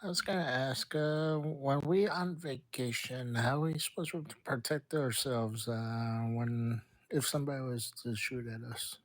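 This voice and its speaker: A man asking questions in a casual group discussion, speaking in a halting, hesitant way. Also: mid-range voice, fairly even intonation, no room sound. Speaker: meeting participant asking questions.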